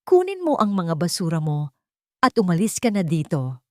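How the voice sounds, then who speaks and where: A proud young man from a romance audio drama, voiced by a woman storyteller. He is curt and commanding, with tenderness hidden under the gruffness. The recording is close and dry. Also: fairly low pitch, wildly swooping pitch, normal speed, darkish tone, dry recording. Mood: furious.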